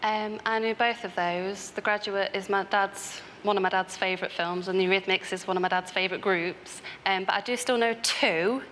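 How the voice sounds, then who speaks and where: A woman's voice: mid-range voice, fairly even intonation, moderate pace, few pauses, a bit bright. A woman on a quiz show, thinking aloud hesitantly as a contestant. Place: large television studio. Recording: echoey studio, faint noise.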